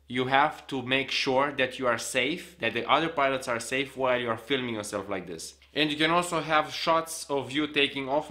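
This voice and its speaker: Young man, bright tense voice, casual tutorial, small home room, desk camera. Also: mid-range voice. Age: young adult. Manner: explains hands-on, demonstrating gear while talking. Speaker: vlogger. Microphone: camera on a desk, facing him.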